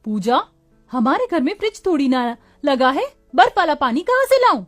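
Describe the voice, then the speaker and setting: Cartoon young mother, acted by a woman: high voice, poor and frayed, pleading, emphatic delivery. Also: big pitch swings, normal speed, soft background music. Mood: exasperated. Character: poor young daughter-in-law and mother, exasperated, worn-out. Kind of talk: cartoon moral story acting.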